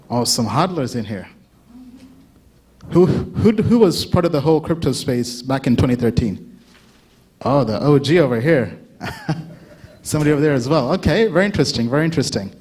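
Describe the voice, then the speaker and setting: A man's voice: on the high side, a little mellow, slightly rough. Chatty man giving a conference talk. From Uganda. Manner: chatty, asks the audience questions, laughs often. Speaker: conference speaker. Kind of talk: casual keynote talk to a live audience.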